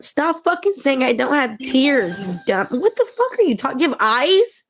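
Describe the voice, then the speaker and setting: A woman's voice: high voice, big pitch swings, moderate pace, soft background music. A tearful woman in an emotional livestream rant, agitated and pleading with her viewers.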